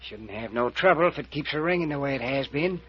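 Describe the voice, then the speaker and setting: An actor playing a gruff, stubborn rural American mayor who gives blunt, folksy orders in an old-time radio mystery. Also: male voice, mid-range voice, animated, a little fast. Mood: matter-of-fact. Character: gruff, stubborn, domineering rustic town mayor. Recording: dull, muffled vintage radio recording.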